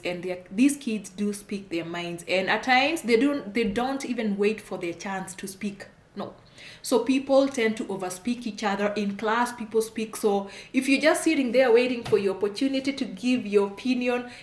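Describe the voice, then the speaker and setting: A middle-aged woman with a Kenyan accent, giving frank advice straight to camera, repeating her points and laughing often. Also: mid-range voice. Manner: frank, repetitive, emphatic, laughs often, gestures while talking. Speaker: immigration consultant. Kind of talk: direct-to-camera advice talk. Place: indoor room at home, plain wall. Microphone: camera on a desk, seated facing it. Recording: echoey room.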